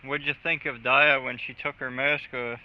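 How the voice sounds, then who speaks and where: A man's voice: mid-range voice, little pitch movement, moderate pace. A man streaming a video game, chatting casually in a blunt, joking and sweary way. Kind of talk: casual gaming chat. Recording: dull lo-fi stream audio, faint music.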